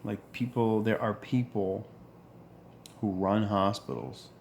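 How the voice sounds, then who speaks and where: A man in his forties with a deep voice, talking earnestly in a reflective monologue, pausing and restarting as he goes. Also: steady tone, vocal fry, a little mellow, a little hiss or hum. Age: 40s. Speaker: vlogger.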